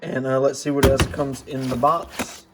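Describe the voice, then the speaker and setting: A man hosting a casual unboxing and gear demonstration, talking in a low-key, plain-spoken, understated way. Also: little pitch movement, normal speed. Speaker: host of a radio gear review channel. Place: indoor workbench in a radio shop. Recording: clearly noisy room recording.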